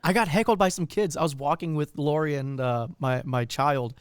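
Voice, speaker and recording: A man co-hosting a podcast in casual chat, a bit raspy from illness, jokey and rambling with fillers and laughs. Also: a little high, moderate pace, no echo, clean studio sound. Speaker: podcast co-host.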